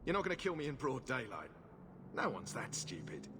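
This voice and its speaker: An actor playing a falsely polite, bribe-seeking harbour assistant in a video game. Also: male voice, medium pitch, wildly swooping pitch, a little fast. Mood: smug, coy. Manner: mock-apologetic, falsely polite. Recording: reverberant, noisy outdoor ambience.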